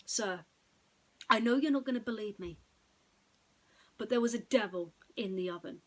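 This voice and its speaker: A woman's voice: mid-range voice, moderate pace, fairly bright tone, lightly acted. A fearful man, a humble baker in a bedtime story, played by a woman reader. He sounds nervous and apologetic, always deferring to others.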